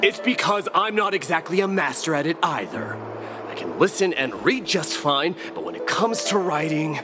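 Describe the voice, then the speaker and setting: A man voicing a proud, gruff warrior prince, blunt and mocking, in a video game. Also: on the high side, big pitch swings, moderate pace. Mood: matter-of-fact. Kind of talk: video game story dialogue. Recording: music behind the voice.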